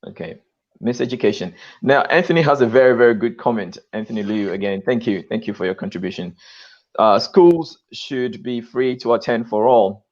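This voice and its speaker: A man's voice: medium pitch, normal speed. Male stream host, emphatic and repetitive, mid-range voice, muffled lo-fi audio. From lives in Australia. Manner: emphatic, repeats "okay" and "you know". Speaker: channel host. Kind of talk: live-stream call discussion.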